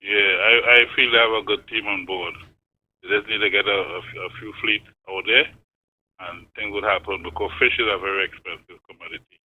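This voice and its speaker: A man's voice: lowish voice, steady tone, fairly quick. An older man from Tobago with a Trinidad and Tobago accent, calling in to a morning show and talking earnestly, repeating his points. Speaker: caller. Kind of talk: phone-in call. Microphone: phone. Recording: thin phone line.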